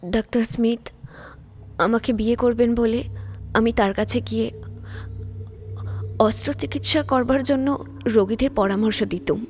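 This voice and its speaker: A woman playing a weak, wronged lady doctor in an audio drama, recounting in a halting, distressed way. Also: a little high, fairly even intonation, darkish tone, slight background noise, lightly acted. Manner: halting, distressed, repeats words. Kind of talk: dramatised audio story acting.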